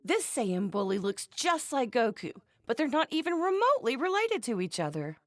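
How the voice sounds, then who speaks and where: A woman's voice: a little high, big pitch swings, moderate pace, no room sound, clean studio sound. An actress voicing a feisty young woman in an anime game, speaking bluntly and expressively with a scornful edge. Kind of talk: scripted game voice lines.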